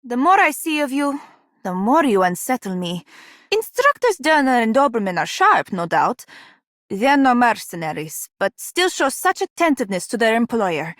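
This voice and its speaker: A woman's voice: on the high side, very animated, normal speed, a bit bright, no room sound, studio quality. A woman voicing a disciplined, wary young female soldier in a game, formal and probing. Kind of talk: game character acting.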